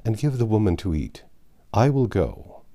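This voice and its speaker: Casting: male narrator as proud knightly king for a storybook, resolute and stately, low soft-edged voice. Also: very animated, normal speed. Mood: commanding. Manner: stately archaic speech. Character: brave, stubborn, chivalrous king.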